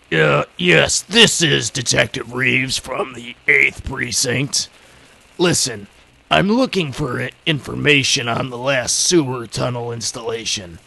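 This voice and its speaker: An actor putting on the gravelly voice of a police detective on a telephone call in an old time radio drama, official but halting. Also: male voice, mid-range voice, sharp tone, exaggerated voice. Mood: brisk, official. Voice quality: gravelly, forced.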